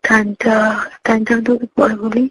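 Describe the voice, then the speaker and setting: Even-toned young woman on phone. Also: on the high side, moderate pace, husky. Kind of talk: casual intimate phone chat. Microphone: phone call. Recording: noisy phone call with clicks.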